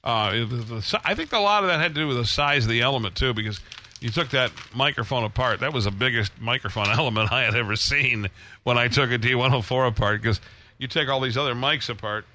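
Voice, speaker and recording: A man hosting a casual radio chat about old transmitters, rambling with many pauses and restarts. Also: mid-range voice, pushed and bright, slight background noise.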